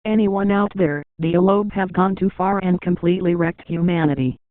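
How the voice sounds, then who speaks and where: A man's voice: sits high, moderate pace, dry recording, lightly acted. A man playing an urgent survivor broadcasting a plea in an emergency drama. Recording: dull lo-fi transmission.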